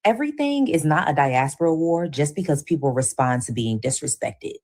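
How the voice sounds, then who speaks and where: A woman commentator making a firm, deliberate and forceful argument. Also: deep voice, animated. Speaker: online commentator. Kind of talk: opinion commentary.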